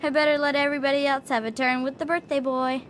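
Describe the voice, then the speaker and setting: A woman's voice: high-pitched, level tone, fast talker, a bit bright, slight background noise. A woman playing a spirited, friendly young girl with a chatty, bossy, teasing manner in a video game. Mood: annoyed, teasing. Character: spirited, friendly ten-year-old girl, protective best friend.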